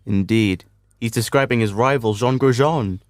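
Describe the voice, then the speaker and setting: An actor playing an earnest, clever doctor, the sensible matter-of-fact sidekick in a comedic radio drama. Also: male voice, medium pitch, moderate pace, dry recording, studio quality, lightly acted.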